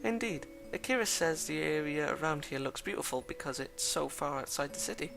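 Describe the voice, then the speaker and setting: Man reading a polite young woman, soft-spoken, gravelly, bright tone, faint music behind. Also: fairly high pitch, animated. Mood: gentle. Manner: soft, courteous, measured.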